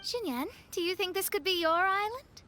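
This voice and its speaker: A woman's voice: very high voice, lively intonation, moderate pace, sharp tone, slight background noise, full character voice. A woman playing an earnest, proper and slightly prickly young female astrologer in an anime-style game, speaking articulately and politely. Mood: concerned.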